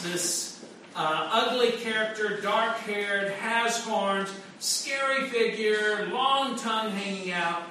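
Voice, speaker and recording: Echoey distant pastor, high bright voice. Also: male voice, slight background noise. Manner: conversational preaching with frequent restarts and fillers. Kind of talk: sermon. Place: church sanctuary.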